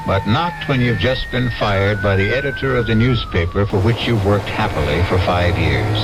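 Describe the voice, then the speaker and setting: A man giving measured, wry, scripted narration for a radio drama. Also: mid-range voice, heavy background noise.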